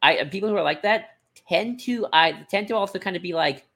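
A man in a casual podcast discussion, talking in a halting, rambling way and often circling back to rephrase. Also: high voice, vocal fry, fairly bright tone, husky. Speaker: podcast co-host.

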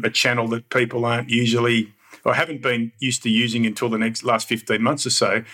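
A man's voice: medium pitch, fairly bright tone. A man hosting an interview podcast, asking friendly, rambling questions. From Australia. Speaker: podcast host. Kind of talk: interview host.

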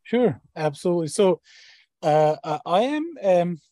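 A man's voice: high-pitched, big pitch swings, a little slow, dark tone, no echo, clean studio sound. Hesitant man from Turkey, light voice. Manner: hesitant, many fillers and restarts. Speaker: startup founder and professor. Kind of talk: interview guest.

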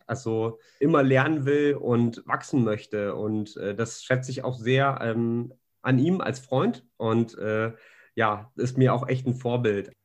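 Guy introducing the next speaker, lots of ähm, halting. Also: mid-range voice. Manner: halting, many fillers, warm praise. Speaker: ministry leader introducing speakers. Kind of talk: casual introduction.

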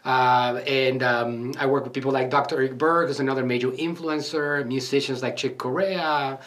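A man's voice: mid-range voice, fairly even intonation, normal speed, a bit bright. A man who runs a marketing agency, talking earnestly and emphatically in a motivational podcast style, with frequent fillers. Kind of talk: motivational podcast talk and interview answers.

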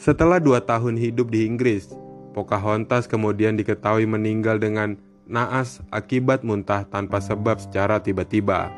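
A man's voice: on the low side, fairly even intonation, normal speed. A man hosting a horror podcast, reading scripted narration. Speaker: podcast host. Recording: music bed behind the voice. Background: background music.

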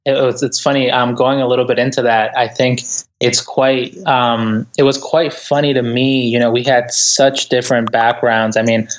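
A man from Ecuador whose first language is Spanish, speaking as a guest author in a thoughtful, earnest interview with many soft fillers. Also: medium pitch, little pitch movement, normal speed, low-quality recording. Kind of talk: reflective interview conversation. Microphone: remote interview over the internet.

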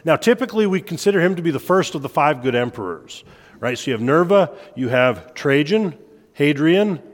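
Man with a head cold lecturing. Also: fairly high pitch. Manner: relaxed, self-deprecating, quick to laugh. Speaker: church history lecturer. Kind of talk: informal lecture at a church meeting. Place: church meeting room. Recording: long hall reverb.

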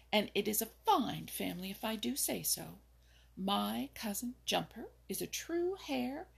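A woman voicing a touchy young male rabbit in a storybook, with a raspy, gravelly voice. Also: mid-range voice, heavily acted. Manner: indignant, defensive.

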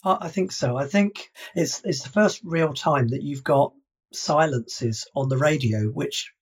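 A man's voice: on the high side, unhurried, husky. A man co-hosting a podcast, giving thoughtful, emphatic analysis in casual chat. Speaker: podcast co-host.

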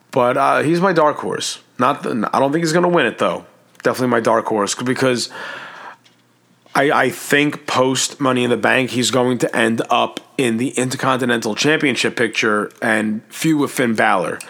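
A man with a raspy, hoarse voice, hosting a casual wrestling predictions talk, enthusiastic and profane, rambling with restarts. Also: medium pitch, fairly bright tone. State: hoarse, clearing throat. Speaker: wrestling podcast host.

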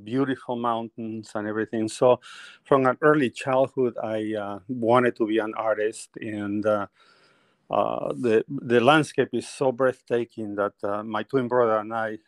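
A man's voice: medium pitch, fairly even intonation, a little slow, no room sound. A middle-aged man from Peru, a painter telling his life story as a guest in a conversational interview, often laughing as he talks.

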